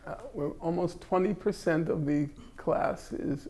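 A man's voice: fairly high pitch, unhurried, a little mellow. An older man teaching a class in a library room, joking wryly and mocking himself as he talks to students. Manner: wry, joking, self-mocking. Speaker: class instructor. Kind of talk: casual classroom talk.

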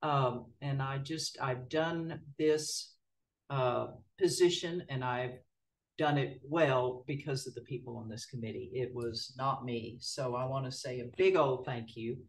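Board chair, folksy and relaxed, lots of uh fillers, voice from across a council chamber. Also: mid-range voice, measured pace. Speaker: board chair running a public meeting. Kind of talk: formal meeting procedure mixed with casual remarks. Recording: distant mic in a meeting room.

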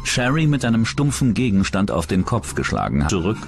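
Deep-voiced man narrating true crime over music. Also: big pitch swings, brisk, vocal fry. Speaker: documentary narrator.

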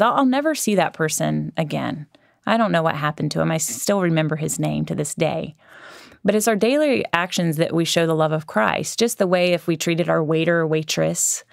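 A woman's voice: lowish voice, vocal fry, no echo, clean studio sound. A woman hosting a faith podcast, talking through her views in an earnest, conversational way with fillers and restarts. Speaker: podcast host.

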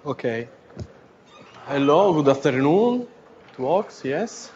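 Thirties postdoc, informal conference talk, muffled lecture-hall mic. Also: male voice, on the high side, big pitch swings, takes it slowly, darkish tone, slight background noise. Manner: joking, informal, with fillers and restarts. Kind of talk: academic lecture. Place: lecture room. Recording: dull lo-fi lecture recording.

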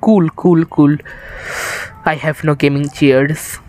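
A young man from India talks to his viewers in an unhurried, drawn-out way as he plays, his voice sitting a little high. He rambles loosely, sounds worn out, and uses an improvised setup. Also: steady tone, darkish tone. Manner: rambling, chatty, addresses viewers. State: tired. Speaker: streamer. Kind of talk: casual live-stream chat while gaming.

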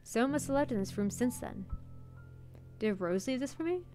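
A woman's voice: on the high side, lively intonation, normal speed, dark tone. A woman streaming a horror visual novel, reading the narration aloud dramatically and sometimes stumbling over words. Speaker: game streamer. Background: game music.

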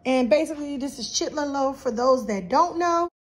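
A woman's voice: high-pitched, expressive, normal speed. A woman vlogging a grocery haul in her home kitchen, chatty and casual, filming on a handheld phone. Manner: chatty, lots of "you guys" and "um". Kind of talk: casual chat to camera.

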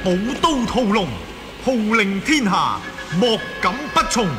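Slow, declamatory high-voiced male announcer. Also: big pitch swings, loud music behind the voice. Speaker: radio announcer. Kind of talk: dramatic title recitation.

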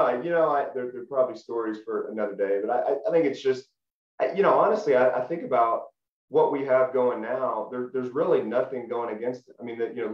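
A man, a guest telling his story in a relaxed way with many restarts over a video call. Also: medium pitch. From Middle Tennessee. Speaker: guest, former college football player. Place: small room at home, indoors. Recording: roomy, dull video call audio.

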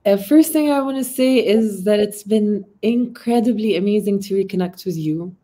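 A woman's voice: medium pitch, moderate pace, mellow. A woman in her thirties with an Arabic accent, a guest on a video call, talking reflectively and often searching for words. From Palestinian, based in Amman. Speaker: interview guest, artist. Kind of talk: casual conversation. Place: home room, video call. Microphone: laptop mic on video call.

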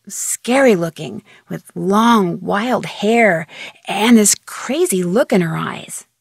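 A woman's voice: mid-range voice, lively intonation, slow pace, gravelly, slightly rough, no echo, studio quality, exaggerated voice. A young woman voicing an inquisitive, plucky amateur detective in a video game, talking conversationally as if on the phone. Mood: concerned, puzzled.

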